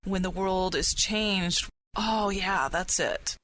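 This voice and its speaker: A woman's voice: mid-range voice, takes it slowly, fairly bright tone, poor audio. A woman talking casually as a panelist on a gaming podcast, putting questions to the others.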